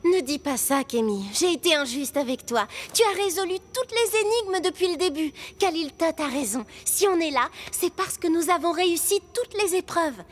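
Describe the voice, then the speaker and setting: A woman's voice: extremely high pitch, expressive, normal speed, few pauses. A woman voicing a cautious, caring young girl adventurer in a children's cartoon, in an earnest, concerned manner. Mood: reassuring. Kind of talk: animated children's cartoon acting.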